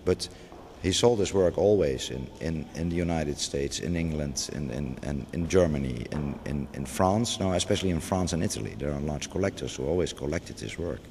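A man's voice: very deep voice, expressive, normal speed, hardly any pauses, a little mellow. A middle-aged man, a museum curator talking off the cuff, hesitant with many restarts and fillers, recorded inside a large gallery. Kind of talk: unscripted gallery interview. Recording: long gallery reverb, faint background noise.

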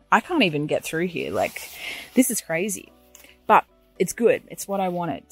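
A woman giving a casual, chatty walk-and-talk garden tour outdoors, offering practical advice as she goes. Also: low voice. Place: outdoors in a large netted garden run.

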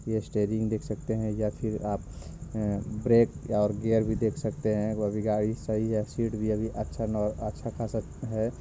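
A man's voice: lowish voice, completely flat delivery, normal speed, noisy background. A man narrating a walkthrough of car interiors, plainly listing parts one after another. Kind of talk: voice-over walkthrough. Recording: dull lo-fi sound with faint insects.

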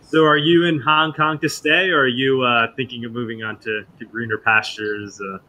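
A man in his forties hosting a podcast interview over a video call, asking friendly, halting questions with fillers. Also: medium pitch, fairly even intonation, sharp tone. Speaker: podcast host.

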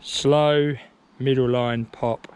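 A man's voice: medium pitch, fairly even intonation, drawn-out words, darkish tone, clear, resonant tone. A man filming his son on a woodland trail, coaching him in short encouraging calls while riding a mountain bike, recorded on an action camera. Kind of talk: casual vlog chat. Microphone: action camera on the rider.

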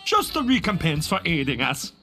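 Pompous, bright-voiced circus brother. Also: male voice, on the high side, huge pitch swings, normal speed, faint music behind, full character voice. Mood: relieved, hearty. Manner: showy, pompous, bickering. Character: squabbling, slick male circus acrobat, pompous showman brother. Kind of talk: theatrical cartoonish game acting.

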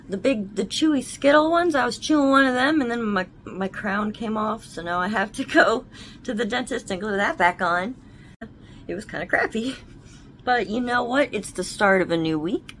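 A woman's voice: mid-range voice, slight background noise. Young woman, casual vlog, bubbly and chatty, phone at arm's length, living room, faint ambience. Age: young adult. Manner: chatty and bubbly, self-mocking. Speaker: vlogger. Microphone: phone camera at arm's length.

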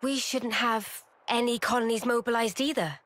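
A woman playing a composed, frank young fighter, measured and pensive, in a video game cutscene. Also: on the high side, fairly even intonation, a bit bright, close to an everyday voice. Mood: matter-of-fact. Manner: measured, matter-of-fact. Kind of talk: anime-style game cutscene acting.

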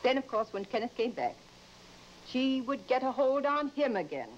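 A woman's voice: high voice, sing-song, normal speed. Swooping, fussing mother. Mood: gossipy, emphatic. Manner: theatrical emphasis, addresses others as dear. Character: concerned, gossipy mother of a married daughter, fussing affectionately. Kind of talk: old-time radio horror drama acting. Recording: dull old radio recording with hiss.

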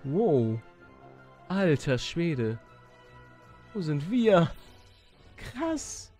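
A man doing excitable let's play commentary, exclamatory and slangy, talking to viewers and himself. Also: a little high, very slow, faint music behind. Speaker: gaming YouTuber and let's player.

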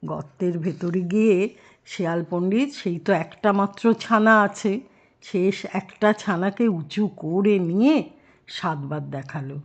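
Older female children's storyteller, grandmotherly, very unhurried, medium pitch, slightly dull recording. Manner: grandmotherly, explains words, questions listeners.

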